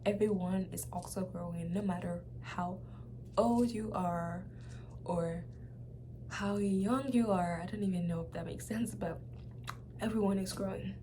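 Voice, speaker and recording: A woman's voice: medium pitch, fairly even intonation, mellow. Young Nigerian woman, noisy home recording. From Nigeria (Lagos). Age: young adult. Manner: repeats phrases emphatically, halting and conversational. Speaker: vlogger. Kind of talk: casual personal testimony to viewers.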